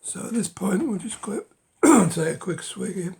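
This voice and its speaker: A hoarse middle-aged man with a cold, ambling slowly through a casual chat and pausing on every um. His voice is gravelly and creaky, and it often sinks to a breathy whisper. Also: high-pitched, big pitch swings, a little mellow. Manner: halting, many ums and uhs, drops to a whisper. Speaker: book collector vlogger. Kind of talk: casual show-and-tell of books.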